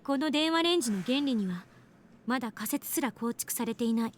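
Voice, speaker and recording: A woman's voice: on the high side, animated, racing, slight background noise, lightly acted. A woman playing an argumentative, skeptical young scientist in a visual novel, with a challenging, insistent manner. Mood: firm rebuttal. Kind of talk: Japanese visual novel voice acting.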